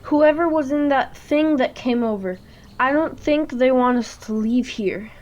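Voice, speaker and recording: A woman voices a young boy in a radio play. The voice is on the high side, earnest and a little ominous. The sound is slightly dull and lo-fi. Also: measured pace, darkish tone. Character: Tommy, earnest, ominous young boy who reads stories.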